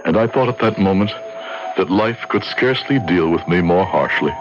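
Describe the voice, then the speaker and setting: A muffled old radio recording with prominent music behind a bitter young medical student. His low voice broods with remorse in formal, melodramatic period diction. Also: lively intonation, normal speed. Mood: brooding, haunted. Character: guilt-ridden, hard-drinking young male medical student, bitterly remorseful. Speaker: actor and first-person narrator. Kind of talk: radio horror drama acting.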